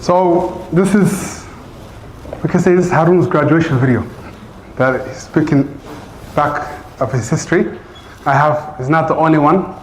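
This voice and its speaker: A man's voice: fairly high pitch, unhurried, pauses often, slight background noise. A man who teaches Arabic, speaking English as his first language, gives an earnest, loose talk to his class with many fillers and restarts. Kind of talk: informal address to a class. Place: large room in a community center. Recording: roomy echo.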